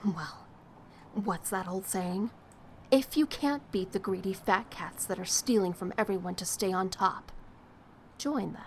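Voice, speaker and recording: A woman's voice: medium pitch, unhurried, close to an everyday voice. Jaded, streetwise woman over noisy ambience acted. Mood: scornful. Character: jaded, streetwise woman bitter about a corrupt city. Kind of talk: audio drama acting. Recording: clearly noisy, ambience behind.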